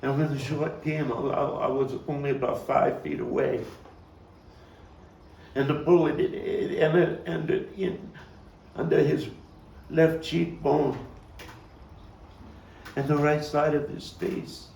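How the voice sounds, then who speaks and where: An actor as slow, haunted Brooklyn storyteller, gravelly. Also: male voice, a little high, little pitch movement, a little mellow. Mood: anguished. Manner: halting, dramatic, with long pauses. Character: Brooklyn man haunted by a boyhood street tragedy. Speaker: playwright and actor. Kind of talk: theatrical monologue at a table read. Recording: roomy, distant, noisy live capture.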